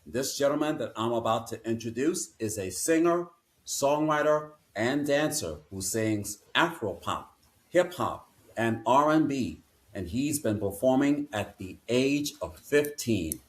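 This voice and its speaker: A man's voice: mid-range voice, lively intonation, measured pace. A man hosting a podcast interview, speaking in a formal, announcer-like way. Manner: formal, announcer-style introductions. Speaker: podcast host.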